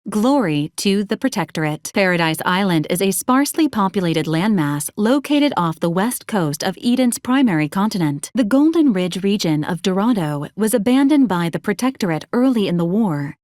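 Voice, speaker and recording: A woman voicing a polite, literal-minded ship robot in a video game, giving formal status reports in a clinical, deadpan manner with dry menace. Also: mid-range voice, normal speed, few pauses, no room sound, lightly acted. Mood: formal, informative.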